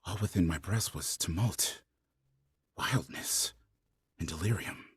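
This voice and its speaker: An actor playing an enraptured freed man telling his story in a fervent, declamatory stage reading, with a husky voice. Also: sits low, measured pace, a little hiss or hum. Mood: overwhelmed rapture. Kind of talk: theatrical dramatic reading.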